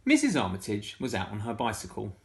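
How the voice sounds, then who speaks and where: A man reading a picture book aloud to schoolchildren, animated and full of sound effects. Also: lowish voice, fairly quick. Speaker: storytime reader for schoolchildren.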